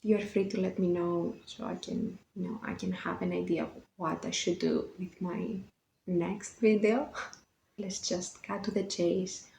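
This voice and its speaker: Greek girl in her 20s vlogging about books, greek accent, mellow gravelly voice, echoey room. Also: fairly low pitch, level tone, unhurried, a little hiss or hum. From Greece. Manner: chatty, self-conscious, laughs often. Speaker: book vlogger. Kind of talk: casual chat to camera.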